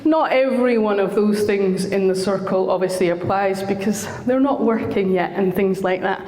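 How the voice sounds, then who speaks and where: A woman's voice: medium pitch, normal speed, darkish tone. A Scottish woman working as a school counsellor, giving a friendly, chatty talk to parents with a slightly sore throat. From Scotland. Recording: echoey hall recording.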